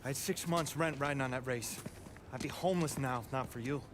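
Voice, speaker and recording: A man's voice: medium pitch, a little fast, noisy background, natural voice. An actor playing a grateful male mechanic who talks earnestly.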